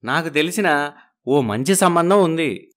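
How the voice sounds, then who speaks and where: A man's voice: fairly high pitch, animated, measured pace. A man playing a pragmatic, matter-of-fact old grandfather in a family story. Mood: resigned. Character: pragmatic old grandfather pushing his favourite granddaughter's match.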